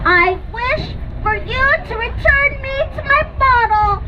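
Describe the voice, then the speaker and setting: A woman's voice: very high-pitched, lively intonation, slow, heavy background noise, heavily acted. A woman voicing a sweet, affectionate narwhal genie puppet in a live festival puppet show. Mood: tender, affectionate. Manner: cheerful, affectionate. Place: outdoor park festival. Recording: muffled outdoor festival stage, music, crowd.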